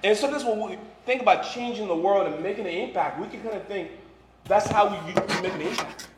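A man's voice: high-pitched, quick pace. A man in his twenties or thirties from Liberia, preaching informally as a campus minister in a conversational, joking way. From Liberia, moved to Boston at thirteen. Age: 20s or 30s. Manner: conversational, joking, peppered with "you know" and "right". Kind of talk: informal sermon to a live congregation. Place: large room before a congregation, some ambient noise. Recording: echoey room, faint background noise.

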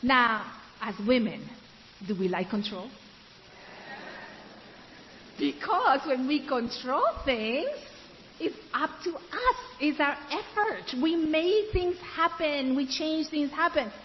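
Slow, high-voiced woman preaching. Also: lively intonation. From Ecuador. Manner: asks the audience questions and answers them; slows to stress key words. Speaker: women's ministry speaker. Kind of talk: sermon. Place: room with an audience. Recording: dull, hissy recording in a reverberant hall.

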